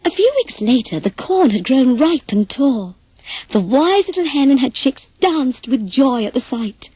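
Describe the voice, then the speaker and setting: A woman reading a children's story as an animated, scripted narration. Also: high voice, a little slow, slightly rough, no echo.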